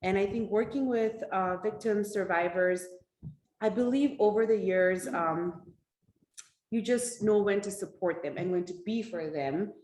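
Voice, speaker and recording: A woman speaking as an advocate on a community panel, reflective and soft-spoken. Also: medium pitch, a little slow. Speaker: domestic violence advocate. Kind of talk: panel discussion. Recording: echoey room with faint noise.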